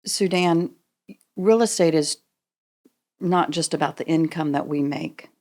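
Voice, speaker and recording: Lady podcast cohost, low voice, deliberate interview questions. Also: darkish tone. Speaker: podcast co-host.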